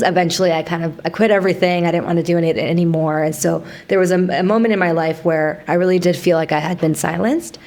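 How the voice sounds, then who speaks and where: A woman from Canada in her thirties, a guest telling her story in an interview at a thoughtful, measured pace. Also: fairly low pitch, darkish tone. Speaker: guest, company founder. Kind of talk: casual interview.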